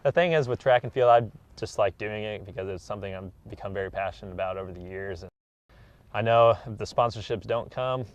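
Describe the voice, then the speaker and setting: Low-voiced young American man, earnest athlete. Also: fairly quick, lo-fi sound. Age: 20s. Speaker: track athlete being interviewed. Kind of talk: casual interview.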